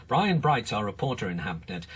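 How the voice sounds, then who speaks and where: A persistent British broadcast interviewer, brisk and challenging. His mid-pitched voice moves in lively swings over faint background noise, as in a radio drama. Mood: pressing. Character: persistent, probing election-night political interviewer.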